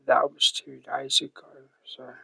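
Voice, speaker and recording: A man commentating his own gameplay on a live stream, his voice croaky from the early hour. The tone is bright and gravelly with a husky edge, and he trails off into quiet whispers as he clicks through menus. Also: mid-range voice, completely flat delivery. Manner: quiet, halting, drifting into whispers. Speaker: gaming streamer.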